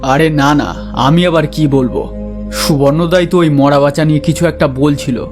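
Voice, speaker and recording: A man's voice: fairly high pitch, moderate pace, over music, natural voice. A man playing a chatty, eager village gossip in a cartoon, speaking colloquially. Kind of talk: cartoon voice acting.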